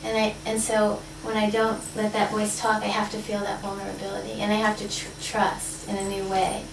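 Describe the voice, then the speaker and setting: A woman's voice: medium pitch, monotone, fairly quick, creaky. A woman facilitating a group role-play workshop, speaking candidly and laughing often. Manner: candid, playful, laughs easily. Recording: roomy, distant, noisy live room.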